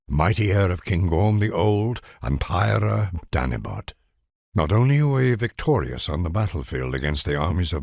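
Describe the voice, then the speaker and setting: A man's voice: deep voice, vocal fry, dry recording, studio quality. A man delivering scripted narration for a game in a grand, solemn, declamatory manner.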